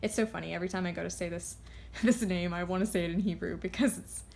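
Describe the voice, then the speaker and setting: Rambling woman with a creaky voice. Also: lowish voice, fairly even intonation, darkish tone. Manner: rambling, halting, goes off on tangents. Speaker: tarot card reader. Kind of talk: casual, unscripted intuitive card reading.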